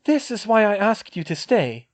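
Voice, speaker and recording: A man voicing a sharp, determined teenage witch who talks briskly and decisively. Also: sits high, sing-song, pitched above the performer's own voice. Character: sharp, determined teen witch, organized planner.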